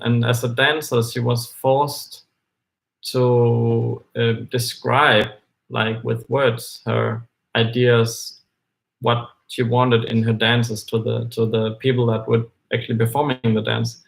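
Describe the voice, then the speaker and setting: Man musing in halting English. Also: mid-range voice, creaky, low-quality recording. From Denmark. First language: Danish. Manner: hesitant, thinking aloud with many fillers and restarts. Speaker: co-host of a live book discussion. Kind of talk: casual discussion.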